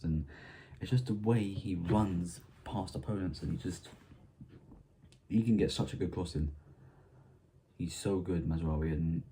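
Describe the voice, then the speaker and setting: A man's voice: deep voice, mellow, slight background noise, low-quality recording. A young man from Ireland hosting a casual football match preview, rambling and often restarting his words. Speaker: football fan channel host.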